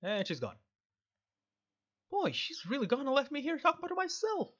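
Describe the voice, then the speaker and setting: A man's voice: very high voice, wildly swooping pitch, normal speed, fairly bright tone, dull audio. A man giving casual, sarcastic let's-play commentary on a video game, with joking asides and dialogue read aloud.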